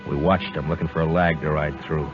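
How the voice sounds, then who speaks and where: An actor playing a stern, world-weary US Marshal in a 1950s radio western, with an American accent and a terse, grave delivery. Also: male voice, a bass voice, level tone, brisk. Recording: muffled old radio broadcast with loud music.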